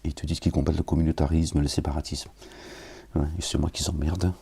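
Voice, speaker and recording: A middle-aged man from France preaches in a very deep, gravelly voice. His tone is dark and husky, rambling through aggrieved asides before he reads scripture, often sinking into low murmurs. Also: little pitch movement, quick pace. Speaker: religious preacher. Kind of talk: informal talk then Quran reading.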